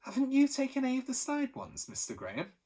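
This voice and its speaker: A man's voice: very high-pitched, wildly swooping pitch, fast talker, dark tone, voice pushed up, heavily acted. A man voicing an eager, curious young boy asking questions in a storybook.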